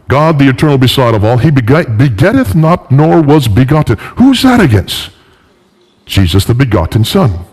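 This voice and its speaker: A man's voice: medium pitch, darkish tone, breathy edge. A man with a cold giving an emphatic public lecture, teaching through pointed rhetorical questions. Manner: emphatic, didactic, questioning the audience. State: has a bad cold and fever. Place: large reverberant indoor room. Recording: echoey hall with long reverb.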